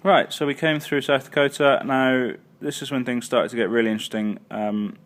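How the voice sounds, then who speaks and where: A man's voice: medium pitch, steady tone, normal speed. A man casually recapping his travels for a vlog, full of ums and uhs and self-corrections. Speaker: travel vlogger. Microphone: phone or handheld camera.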